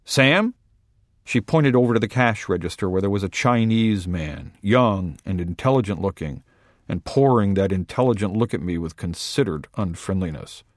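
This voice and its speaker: A man reading a short story aloud in a wry, deadpan first-person voice. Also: low voice, moderate pace, no room sound, studio quality. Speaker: reader.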